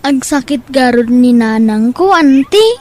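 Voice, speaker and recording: A woman with an Ilocano accent playing a poor, dutiful young boy in an audio drama, speaking earnestly in a drawn-out way. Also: high-pitched, expressive, a little mellow. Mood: respectful, dutiful.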